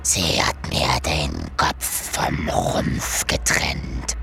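Vengeful dead man, radio thriller: rock-bottom pitch, slow menacing whisper, solemn accuser. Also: steady tone, exaggerated voice. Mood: eerie, menacing. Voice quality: hoarse, breathy whisper, gravelly. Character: vengeful beheaded ghost of an executed innocent man. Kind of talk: German radio crime drama acting. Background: ominous music.

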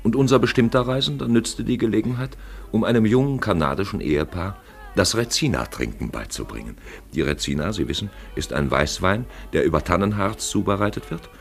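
A man narrating a literary radio play with gravelly, husky, measured and dramatic storytelling. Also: lowish voice, lively intonation.

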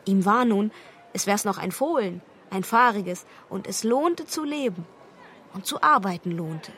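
An actress reciting as a tender, consoling poet in a measured, expressive radio reading. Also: female voice, mid-range voice, slight background noise.

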